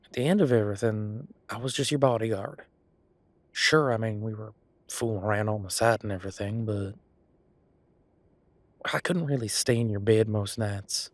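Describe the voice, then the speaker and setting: Rough-edged werewolf bodyguard acted by a man, deep-voiced, folksy and teasing, intimate audio drama, very dry close sound. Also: no room sound, close to an everyday voice. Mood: tender, reassuring. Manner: casual, folksy, halting, teasing.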